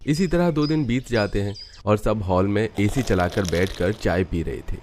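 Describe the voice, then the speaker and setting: Low-voiced man narrating a cartoon story. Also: normal speed.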